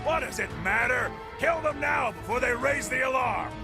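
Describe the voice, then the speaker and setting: Over music, a vicious game henchman with an extremely high, creaky voice. He is agitated and aggressive. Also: moderate pace. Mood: aggressive, urgent. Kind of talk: scripted video game cutscene acting.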